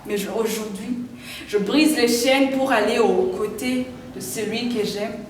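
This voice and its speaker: A woman's voice: fairly high pitch, a little slow, slight background noise. A teenage girl delivering a dramatic monologue at a school event in a defiant, declamatory way. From Burundi. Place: large hall. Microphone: far from the microphone. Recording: echoey hall from far off.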